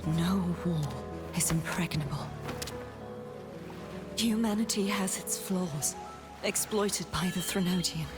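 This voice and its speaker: Game role: menacing warrior woman. Slow, grand, solemn proclamations under loud music. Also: on the low side. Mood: solemn, defiant. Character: menacing, imperious female warrior proclaiming defiance and destruction. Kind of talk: dramatic video game voice acting.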